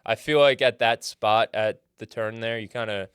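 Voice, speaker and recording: Man, low smooth voice, slight cold, opinionated casual sports talk, dry sound. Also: steady tone, normal speed, a bit bright, no room sound. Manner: opinionated, fillers like "like" and "right". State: sniffling with a cold. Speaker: co-host. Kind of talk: casual fantasy football chat.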